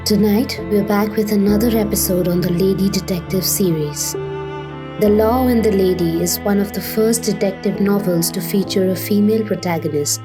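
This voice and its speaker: Woman doing a scripted show intro, normal pitch, violin music going behind her. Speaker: series presenter.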